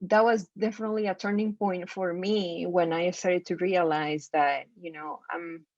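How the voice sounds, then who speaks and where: A woman from Costa Rica with a Spanish accent, a design professor speaking thoughtfully and haltingly on a video call panel. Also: lowish voice, steady tone, dry recording. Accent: Costa Rican Spanish. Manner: thoughtful, halting, many fillers and restarts. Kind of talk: roundtable discussion. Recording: dull lo-fi video call audio.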